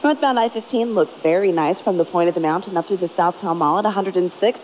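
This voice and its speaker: A woman's voice: on the low side, fast talker. A woman with an American accent giving a scripted traffic report on the radio. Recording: muffled radio aircheck with noise.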